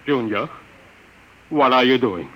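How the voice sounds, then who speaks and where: An actor in an old radio drama playing a frightened, imploring professor in a melodramatic manner. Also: male voice, medium pitch, animated, unhurried, fairly bright tone. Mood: alarmed. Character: frightened, pleading professor who created a robot. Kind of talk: 1950s radio drama acting. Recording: noisy vintage radio recording.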